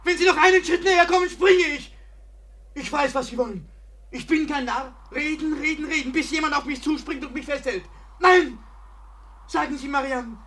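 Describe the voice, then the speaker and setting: Despairing young male artist, high voice, melodramatic, declamatory, vintage radio play. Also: pushed and bright. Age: young adult. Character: despairing, theatrical young Parisian painter threatening to jump. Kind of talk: 1950s German radio play acting.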